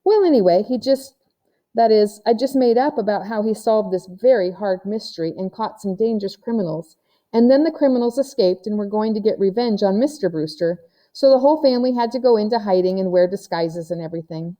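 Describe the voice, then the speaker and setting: An earnest, friendly sixth-grade girl from a storybook, read by a woman. She explains her imaginings patiently in a very mellow, soft-toned voice. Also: medium pitch, fairly quick, close to an everyday voice.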